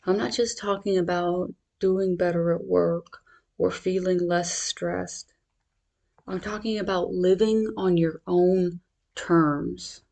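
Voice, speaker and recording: A meditation guide speaking in a measured, reassuring, instructional manner. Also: medium pitch, steady tone, vocal fry, a little mellow.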